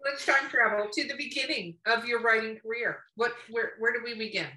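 A woman podcast host with a bright, forward voice that sits a bit high. She chats casually and playfully with plenty of exclamation, recorded in a room with noticeable echo. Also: fairly quick. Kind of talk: casual interview chat over drinks. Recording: roomy echo.

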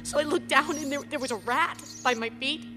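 A woman's voice: high-pitched, brisk, music in the background, close to an everyday voice. A woman acting a despairing, tender but bitter grieving mother in an emotional radio drama. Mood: agitated, bitter. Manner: sweary, intense, wounded delivery. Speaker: actress in a radio play. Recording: long hall reverb, background noise.

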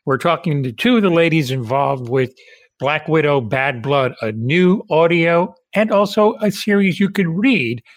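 A man hosts a podcast interview, talking in a friendly, conversational way with a slightly raised pitch. His voice sounds dry and close, with no room around it. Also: lively intonation, unhurried, no room sound. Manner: friendly, conversational questions with restarts. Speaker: podcast host. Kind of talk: interview hosting.

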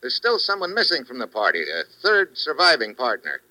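Cool American newsman hero, sharp forceful tone, very animated pitch, laconic vintage radio-thriller delivery. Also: brisk, dry recording, heavily acted, low-quality recording. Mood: brisk, wry. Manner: wry, laconic, unflappable. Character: wry, cool, tough American male foreign correspondent. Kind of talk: 1950s radio thriller acting.

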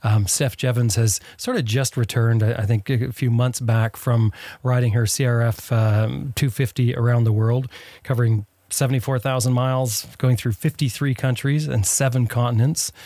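A man's voice: medium pitch, fairly even intonation, moderate pace, warm tone, dry recording. Male podcast host, dry studio sound. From Canada. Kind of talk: casual roundtable chat. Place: home studio.

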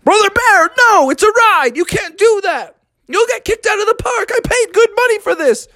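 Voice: medium pitch, big pitch swings, measured pace, sharp tone, no echo, clean studio sound, pitched above the performer's own voice, heavily acted. A storyteller voicing an exasperated, blustery father frog in a family tale. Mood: alarmed, exasperated.

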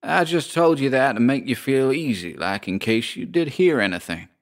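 Guy doing an boarding house landlady from a spooky story, slow folksy drawl, totally unfazed. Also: mid-range voice, lively intonation, pitched above the performer's own voice, natural voice. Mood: cool, drawling. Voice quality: metallic voice. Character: coolly unbothered American landlady of a haunted boarding house.

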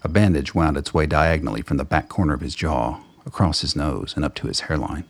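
Dude narrating a radio drama, super deep voice, husky with lots of fry, reading from a script. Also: male voice, fairly quick. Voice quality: very deep, gravelly.

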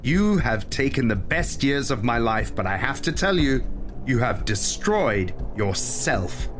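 A man's voice: mid-range voice, very animated, a little slow, over music, pitched above the performer's own voice. A man voicing a furious, bitter younger woman accusing her husband in an audio story. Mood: bitter accusation. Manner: anguished, accusing. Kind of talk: dramatic storytelling voice.

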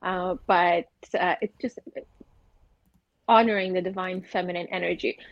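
A woman's voice: on the low side, fairly even intonation, normal speed, creaky, no echo, dull audio. A woman from Afghanistan talking in an earnest, opinionated way on a casual podcast, mixing in spiritual ideas. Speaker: podcast co-host.

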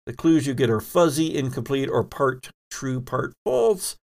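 A man hosting a tabletop role-playing game, explaining the rules in a halting, self-correcting way. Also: medium pitch, lively intonation, vocal fry, darkish tone. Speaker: host and game master.

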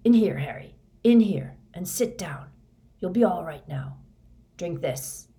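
A woman's voice: lowish voice, lively intonation, measured pace. A woman reading a storybook, voicing a grim, menacing older male wizard teacher who questions insistently with fanatical intensity. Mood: urgent. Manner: quiet insistent questioning rising to fervent intensity.

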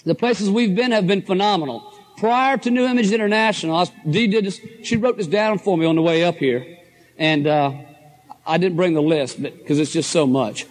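A middle-aged man with a hoarse voice, giving an enthusiastic, folksy motivational talk to a live audience. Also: high-pitched, normal speed. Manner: folksy, enthusiastic, addresses crowd as guys and folks. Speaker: motivational speaker at a business convention.

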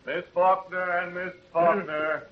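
An actor playing an officious court bailiff with formulaic delivery in an old radio drama. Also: male voice, high-pitched, little pitch movement, unhurried. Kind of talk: 1930s radio acting. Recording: muffled, noisy 1930s radio recording.

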